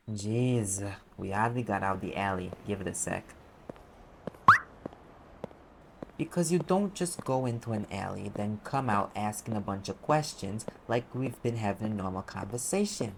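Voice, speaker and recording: A man's voice: on the low side, gravelly, noisy background. A man playing a sarcastic, impatient private eye mage in an audio roleplay, explaining things in a conversational, exasperated way. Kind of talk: audio roleplay acting, one side of a dialogue.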